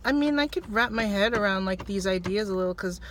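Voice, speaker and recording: A woman's voice: medium pitch, a little fast, slight background noise. A woman co-hosting a casual film review, with a blunt, rambling, sardonic way of talking. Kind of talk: casual unscripted review chat.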